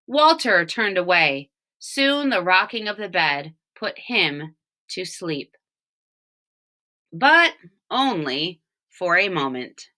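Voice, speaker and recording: A woman reads a storybook aloud for children. Her voice is bright and her pitch moves in lively swings. She takes her time, leaning expressively on key words. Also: slow pace. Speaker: storybook reader, teacher reading for home learning.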